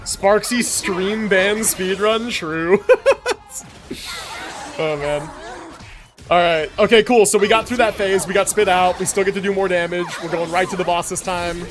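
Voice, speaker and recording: A man livestreaming a video game with casual commentary, thinking out loud in slangy, sweary talk. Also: sits high, unhurried, music in the background. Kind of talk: casual gaming stream commentary.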